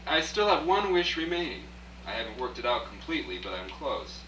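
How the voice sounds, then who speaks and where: A man's voice: medium pitch, very animated, fairly quick, pushed and bright. A man playing a wry writer who narrates his own story in a radio play, confiding in the listener and putting questions to them. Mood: confiding, challenging. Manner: confiding, rhetorical, addressing the listener directly. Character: wry out-of-work male writer turned rich storyteller. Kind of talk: live radio play, first-person dramatic narration. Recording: echoey distant live rehearsal, faint hum.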